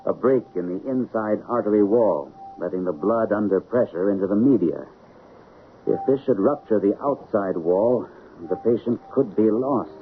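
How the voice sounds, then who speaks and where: Man playing a low-voiced, composed radio-drama surgeon. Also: natural voice. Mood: tense, decisive. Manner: clipped commands, measured formal explanations. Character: composed, authoritative male chief surgeon lecturing while operating. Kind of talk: old-time radio drama acting. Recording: narrow, noisy old radio recording.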